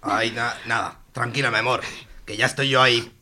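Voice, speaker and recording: A man's voice: mid-range voice, fairly even intonation, fairly quick, sharp tone. A man playing a bumbling, devoted lover and loyal friend in a radio soap, hesitant and stammering. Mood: reassuring, flustered. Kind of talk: amateur radio soap acting.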